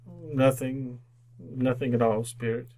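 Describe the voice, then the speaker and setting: An actor playing an old miser shaken by remorse and wistful joy, in old-time radio drama style, speaking haltingly and repeating words. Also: male voice, medium pitch, steady tone, dark tone, rough recording. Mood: wistful, regretful.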